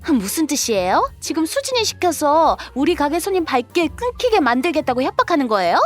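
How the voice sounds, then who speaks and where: A woman's voice: sits high, big pitch swings, a little fast, faint music behind. A woman playing a brazen, money-grubbing younger sister-in-law, whiny and defiant, in a scripted audio drama. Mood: outraged.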